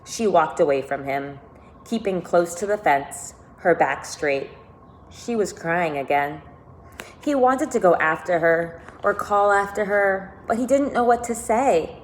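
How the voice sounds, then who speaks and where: A woman teacher reading a children's novel aloud to her class, speaking deliberately and pausing to think aloud with questions. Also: on the low side, a little hiss or hum, poor audio. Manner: deliberate, questioning, stressing key words for young listeners.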